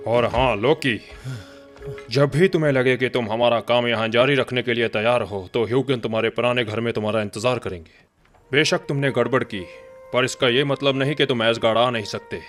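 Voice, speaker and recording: A man voicing a stern, protective older warrior father in a game dub, gravelly and measured. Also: medium pitch, fairly even intonation, a bit bright, soft background music, lightly acted. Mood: calm. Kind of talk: Hindi game dub acting.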